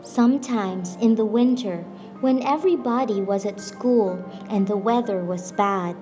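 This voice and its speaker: Slow-paced woman reading a storybook. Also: mid-range voice. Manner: expressive, deliberate phrasing with pauses for emphasis. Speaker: teacher reading a picture book aloud. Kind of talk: scripted storybook narration. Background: background music.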